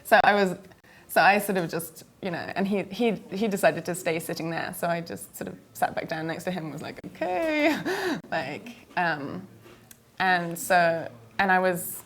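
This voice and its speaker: A woman's voice: lowish voice, expressive, moderate pace. A young woman from the UK, a filmmaker guest answering interview questions hesitantly, full of fillers, and tired from travel.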